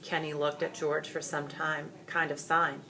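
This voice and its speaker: A woman reading a story aloud as expressive scripted narration. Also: deep voice, measured pace, gravelly. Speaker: storybook reader.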